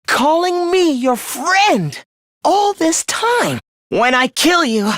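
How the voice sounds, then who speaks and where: A young male video game traitor with a voice that swings widely in pitch. He is gloating, contemptuous and genocidal, delivering his villainy with theatrical menace. The sound is dry and close. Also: fairly bright tone, no echo, heavily acted. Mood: seething loathing. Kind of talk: video game voice acting, villain confession monologue.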